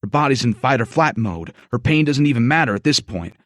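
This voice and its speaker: A woman voicing a calm, gentle male medic in an audiobook, speaking soothingly. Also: rumbling low, a little fast, no echo, studio quality, pitched below the performer's own voice. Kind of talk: audiobook dialogue.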